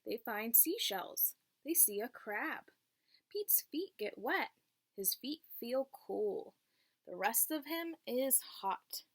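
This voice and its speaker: A woman's voice: fairly high pitch, vocal fry. A woman reading a picture book aloud to young children, teacher-like, in simple phrases and checking in with questions.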